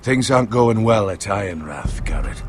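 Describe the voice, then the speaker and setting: An actor playing a grave, devoted middle-aged uncle in a fantasy video game, speaking in measured, formal phrases. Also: male voice, lowish voice, animated, slight background noise, lightly acted. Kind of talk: video game drama acting.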